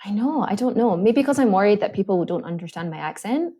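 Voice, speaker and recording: A Scottish woman from Glasgow talks casually as a guest on a podcast. Her voice is mellow and warm. She is self-deprecating and quick to laugh. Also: mid-range voice, expressive. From Scotland. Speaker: podcast guest, company executive. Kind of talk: casual chat.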